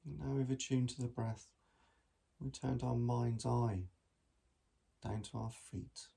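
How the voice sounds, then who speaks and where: A man guiding a meditation in a gentle, measured manner with long pauses between phrases. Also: mid-range voice, steady tone, vocal fry, husky. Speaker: mindfulness meditation teacher. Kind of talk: guided body scan meditation.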